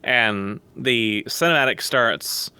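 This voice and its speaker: A man's voice: mid-range voice, slow, bright, forceful tone, smooth, dry recording. A man talking casually on a podcast over a voice call, rambling at length with blunt, sardonic, profane opinions. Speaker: podcast guest. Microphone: close mic on voice chat.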